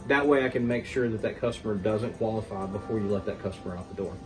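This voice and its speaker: A man who is a mortgage specialist, giving steady explanations with frequent fillers as a podcast guest. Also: fairly low pitch, fast, far from the mic, over music. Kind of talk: explaining in an interview.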